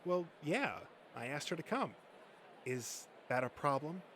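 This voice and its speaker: A man's voice: on the high side, very animated, a little slow, a bit bright. A man voicing an anxious, conciliatory young nerd and boyfriend in a comic dub, with slight noise in the recording. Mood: nervous. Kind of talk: comic dub character acting.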